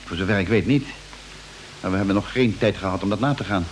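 An actor playing a practical male spaceship crewman in an old radio play, brisk and businesslike. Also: fairly low pitch, a little hiss or hum, lightly acted, poor audio. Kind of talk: vintage Dutch radio play acting. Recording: old radio recording with faint clicks.

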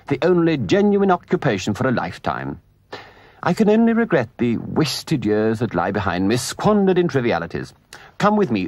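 A man voicing a boastful, excitable toad gentleman from a storybook, with a theatrical, effusive and pompous manner. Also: medium pitch, no echo. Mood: grand, enthusiastic. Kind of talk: storybook character voice.

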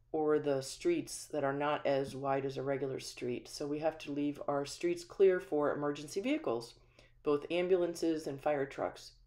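A woman with a low voice gives a friendly home tour, talking casually and often pausing with ums. She walks through a small empty house, the camera held in hand. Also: a little mellow. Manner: friendly, chatty, frequent ums. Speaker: manufactured home community manager. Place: empty small house, indoors, then voice-over.

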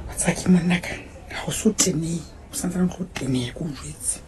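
A woman's voice: sits low, a little fast, crowd noise, lightly acted. An actress playing a stubborn, scornful wife arguing with her husband in a radio drama. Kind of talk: Setswana radio drama acting.

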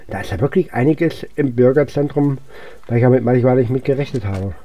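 Very dark-voiced man casually narrating gameplay. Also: mid-range voice, brisk. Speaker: gaming video host. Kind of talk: casual let's-play commentary.

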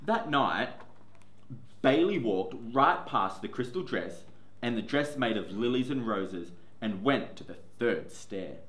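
A man in his forties, a teacher, reading a picture book aloud to children, seated in a classroom. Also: mid-range voice. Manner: slow, expressive storytelling. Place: classroom, indoors. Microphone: camera in front, seated. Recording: echoey classroom.

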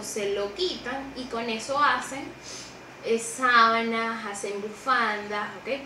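A woman's voice: fairly high pitch, reverberant, recorded from a distance, noisy background. A young woman teaching preschool children in an online class, speaking patiently and repeating each word in two languages with frequent "ok?" checks. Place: small room indoors, seated beside a monitor.

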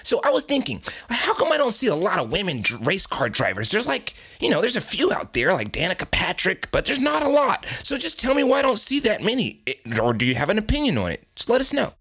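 A man's voice: high voice, wildly swooping pitch, fairly quick, hardly any pauses, a bit bright, husky. A man hosting a comedic talk radio show, introducing and questioning guests.

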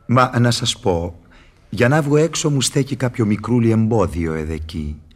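A sly devil of classic radio theatre, low and husky. He swoops theatrically through his verse, mocking and wheedling with false humility. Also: lively intonation.

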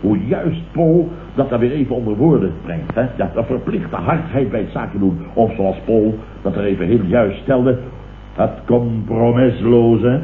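A man's voice: mid-range voice, unhurried, lightly acted. An actor playing a vain, pompous middle-aged businessman who blusters and repeats himself in an old radio comedy. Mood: flattered, eager. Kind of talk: 1970s Dutch radio comedy acting. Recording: old tinny, noisy, echoey radio recording.

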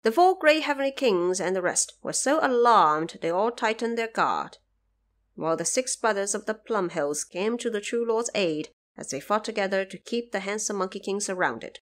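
A woman narrates a scripted audio drama. Her pitch moves freely up and down as she tells the tale, at a middling height overall. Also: animated, moderate pace.

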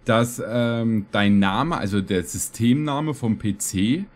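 A man's voice: lowish voice, takes it slowly. Rambling young-sounding man reacting. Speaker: reaction video host. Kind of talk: casual, rambling reaction commentary. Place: small room at home, streaming setup.

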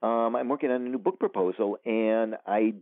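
A man's voice: lowish voice, normal speed, no echo. A man who is an author, chatting casually in an interview, rambling with many fillers and restarts. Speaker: author guest. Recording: dry, tinny phone line.

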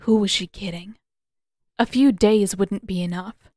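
A woman reading scripted fiction aloud as a narrator, with expressive, dramatic delivery. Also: mid-range voice, unhurried, dry recording, clean studio sound. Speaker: audiobook narrator of fan fiction.

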